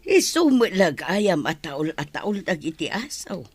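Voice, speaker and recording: A man acting in an Ilocano radio drama as a gentle, moralizing village parent who gives earnest advice. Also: high voice, very animated, unhurried, slightly rough, heavily acted. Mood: concerned.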